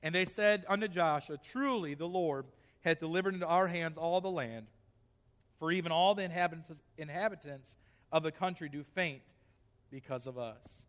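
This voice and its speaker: A man's voice: on the high side, normal speed, a bit bright, in a large hall. A male pastor preaching a sermon in a church, reading scripture aloud and then pressing his point with rising emphasis.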